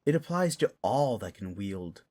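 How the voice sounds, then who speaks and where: Casting: male actor for learned, measured explainer of magic in fantasy audio drama, slightly deep register. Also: very animated, dark tone. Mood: matter-of-fact. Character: knowledgeable man calmly explaining magical essence.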